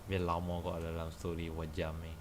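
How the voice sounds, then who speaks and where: Low, even-toned northern Malaysian man streaming. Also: a little fast, background noise. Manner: rambling, colloquial. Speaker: game streamer. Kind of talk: casual livestream chat. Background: game music.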